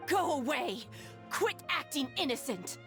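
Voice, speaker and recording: A woman's voice: sits high, sing-song, unhurried, over music, full character voice. Suspicious, scornful townswoman. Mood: hostile. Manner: scornful, accusing. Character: hostile, suspicious, accusing townswoman. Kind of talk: game character dialogue.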